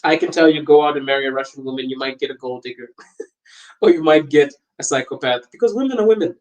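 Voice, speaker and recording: A man's voice: a little high, fast, lo-fi sound. A young man from Botswana hosting a casual livestream podcast, talking loosely, laughing often and reading viewer comments. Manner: animated, laughing often, wandering and talkative.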